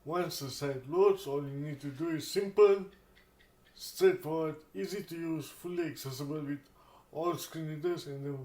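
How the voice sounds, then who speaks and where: A man hosting a casual tech demonstration, speaking haltingly and often repeating words. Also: a little high, warm tone, echoey room. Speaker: tech channel host.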